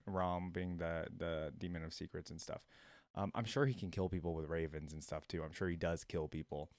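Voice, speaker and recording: A man's voice: on the low side, clean tone, dry recording, studio quality. A man hosting a reaction video, chatting casually and thinking aloud with frequent fillers and restarts.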